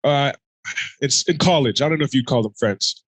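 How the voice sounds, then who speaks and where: A man's voice: medium pitch, normal speed, dry recording. A man co-hosting a podcast in casual chat, rambling, laughing often and a little self-conscious. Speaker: podcast co-host.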